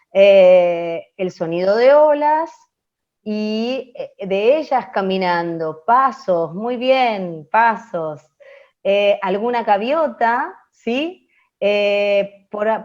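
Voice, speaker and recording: A woman with a Rioplatense Argentine accent and a fairly high voice gives an explanatory class. She speaks didactically, often seeking agreement with short tag questions. The audio is slightly dull and lo-fi. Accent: Rioplatense Argentine Spanish. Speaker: radio teacher.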